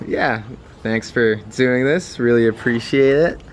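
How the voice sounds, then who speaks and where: A man's voice: mid-range voice, measured pace, a little hiss or hum. A man interviewing a traveler, asking questions in a hesitant, filler-heavy way. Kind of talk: casual interview chat.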